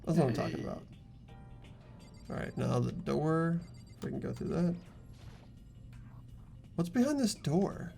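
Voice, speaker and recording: A man's voice: a little high, sing-song, measured pace, gravelly, long pauses, dark tone, soft background music. A young man hosting a group game playthrough, moving between reading narration and casual commentary.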